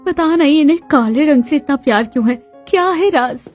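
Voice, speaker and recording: A woman voicing a put-upon young bride in a family cartoon, expressive and dutiful, given to muttering. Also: high-pitched, brisk, soft background music, pitched above the performer's own voice. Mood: exasperated.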